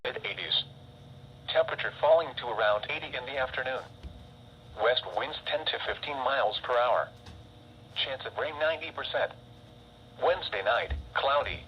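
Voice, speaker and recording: Male forecast reader, mid pitch, scripted, dull lo-fi radio sound. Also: a little hiss or hum. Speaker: weather forecast announcer.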